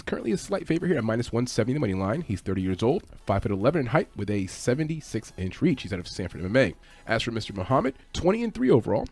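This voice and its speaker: A man's voice: medium pitch, a little mellow. A man giving a conversational sports preview as a fight analyst, matter-of-fact with informal asides. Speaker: MMA fight analyst and host.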